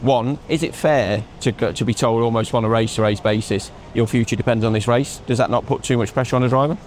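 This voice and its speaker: A man in his thirties hosting a casual motorsport chat outdoors, asking quick questions on a lapel mic. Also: mid-range voice, steady tone, slight background noise. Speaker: host. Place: outdoors in a racing paddock at night. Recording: faint outdoor street ambience.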